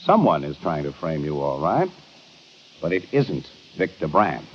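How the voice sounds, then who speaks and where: An actor playing a shrewd, authoritative police inspector in an old radio crime drama, speaking deliberately and accusingly. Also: male voice, extremely low pitch, big pitch swings, full character voice. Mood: measured, knowing. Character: shrewd, commanding male police inspector exposing a killer. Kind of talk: 1950s radio drama acting. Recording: muffled, hissy old radio broadcast.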